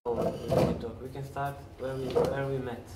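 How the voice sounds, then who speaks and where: A man's voice: mid-range voice, flat delivery, normal speed. A man from Serbia with a Serbian accent, chatting casually as an interview guest and often searching for his words in non-native English. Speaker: interview guest, parkour coach. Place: large empty café-like room, at a table indoors. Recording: roomy, distant mic, faint noise.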